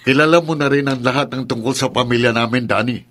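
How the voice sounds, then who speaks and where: A man's voice: mid-range voice, fast talker, a bit bright, close to an everyday voice. A man playing a firm, protective young adult son and brother in a radio drama, insistent and questioning, with a Filipino accent. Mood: indignant, confronting. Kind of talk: Tagalog radio drama acting.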